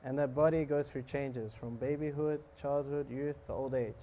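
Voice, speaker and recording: A man giving a relaxed, joking talk on scripture to a live audience, often asking them questions. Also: mid-range voice, normal speed, poor audio. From South Africa. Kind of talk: casual sermon to a live audience.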